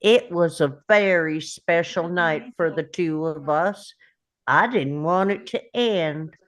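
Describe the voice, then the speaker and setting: Simple, earnest young man in love, read by a woman, unhurried and low, for a film script table read. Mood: wistful narrating.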